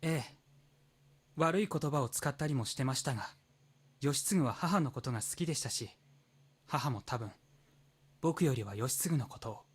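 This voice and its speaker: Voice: medium pitch, expressive, quick pace, pauses often, breathy edge. An actor voicing a mature, reserved teenage boy in a Japanese visual novel, speaking with formal, hesitant politeness. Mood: melancholy.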